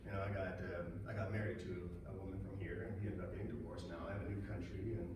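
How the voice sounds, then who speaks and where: A man in his thirties, hoarse and raspy from being sick, gives an energetic talk and keeps asking the crowd questions. His voice is picked up from a distance and bounces around a very echoey room, with loud noise running underneath. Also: deep voice, quick pace. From Denmark. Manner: energetic, asks the audience questions. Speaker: speaker at a marketing event. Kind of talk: motivational talk to an audience. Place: large echoey event room.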